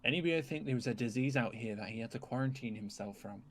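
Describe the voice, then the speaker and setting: A man's voice: mid-range voice, fast talker, close to an everyday voice. A man playing a teasing, nosy amateur psychiatrist in an improvised game roleplay, dry and mock-formal in his questioning. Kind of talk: improvised Minecraft zombie roleplay. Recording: background noise.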